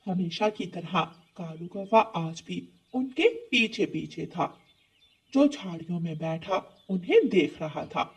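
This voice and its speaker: A woman's voice: fairly low pitch, animated, a little slow, slightly rough, far from the mic. A woman giving scripted narration for a children's story.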